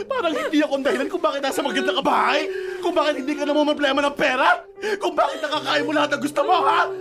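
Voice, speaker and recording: A woman playing a strict, hot-tempered elder sister who scolds and interrogates like a parent, in radio drama style. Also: high-pitched. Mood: furious, hurt.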